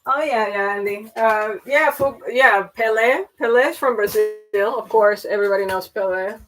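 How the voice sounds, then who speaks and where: A woman's voice: mid-range voice, moderate pace, over music, rough recording. A middle-aged woman, hosting a live stream in a rambling, chatty way with many fillers and restarts. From Panama. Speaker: livestream host. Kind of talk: casual chat.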